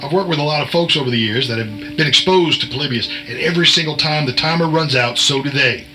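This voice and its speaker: Man acting bright-voiced, folksy, cagey insider over music. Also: fairly high pitch, fast talker, low-quality recording. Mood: ominous, wry. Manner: folksy, chiding, cryptic. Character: cagey, wisecracking male veteran insider and short-order cook. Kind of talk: audio drama acting.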